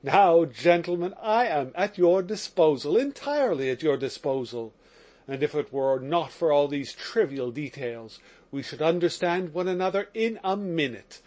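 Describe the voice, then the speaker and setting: A desperate, fervent young prisoner, read by a man, who pours out his heart in pleading exclamations. The voice climbs high and swings widely with emotion in this storybook reading. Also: expressive, normal speed, darkish tone, close to an everyday voice. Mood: insistent. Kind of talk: audiobook character reading.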